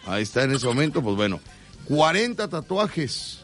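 A man's voice: on the high side, very animated, moderate pace, fairly bright tone. Mexican male radio host, playful and teasing, light music bed under him. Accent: Mexican Spanish. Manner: teasing and jokey, often laughing mid-sentence. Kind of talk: joking live radio banter. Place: radio studio.

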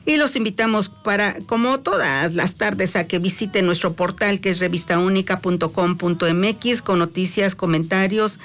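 A woman from Mexico with a Mexican accent hosts a talk radio program. She runs the show in a chatty way, drawing out words and filling gaps with "eh". Her voice sits at an ordinary, middle pitch for a woman. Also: a bit bright. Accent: Mexican Spanish. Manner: chatty, drawn-out words, frequent "eh" fillers. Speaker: radio host.

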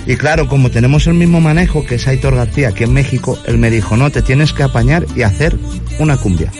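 A man with an accent from Spain speaks as a radio guest in a mid-range voice, relaxed and friendly. Soft music runs behind. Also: medium pitch, quick pace. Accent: Spanish from Spain. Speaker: singer, interview guest. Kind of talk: casual radio interview. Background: faint music.

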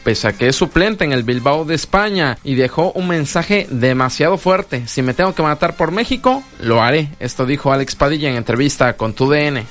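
A man reading the sports news on radio in a measured, deliberate way. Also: on the high side, expressive, fairly bright tone, faint music behind.

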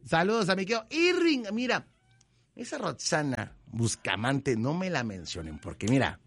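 Joking Mexican radio host, dry close mic. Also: male voice, on the high side, moderate pace, slightly rough, dry recording. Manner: joking, teasing, lively banter. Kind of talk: reading listener messages on air. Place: radio studio.

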